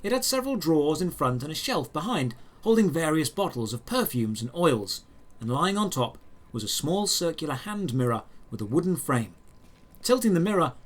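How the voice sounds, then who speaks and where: A man narrating a scripted first-person fantasy story in a measured, descriptive storytelling manner. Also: fairly high pitch.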